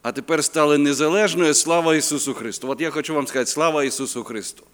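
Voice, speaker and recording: A man's voice: a little high, fast talker, fairly bright tone, a little hiss or hum. Ukrainian-accented man preaching in an echoing hall. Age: 50s. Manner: chatty, joking, searching for words. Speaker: guest preacher. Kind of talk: sermon at a youth conference. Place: conference hall. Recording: reverberant hall.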